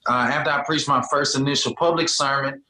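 A man in his forties speaks with an earnest pastor's cadence, circling back over his own phrases. His voice is forceful and bright, and it rings in an echoey room. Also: medium pitch, steady tone, brisk. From Birmingham, Alabama. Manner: earnest, repetitive preacher's cadence. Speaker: pastor, guest. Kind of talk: storytelling interview answers.

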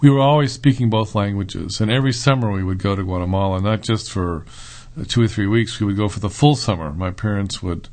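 A middle-aged man with a fairly low voice speaks as a podcast guest. He sounds thoughtful and fills his sentences with frequent "uh" and "um" pauses. He talks in a relaxed, conversational way. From United States. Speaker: guest, seminary professor.

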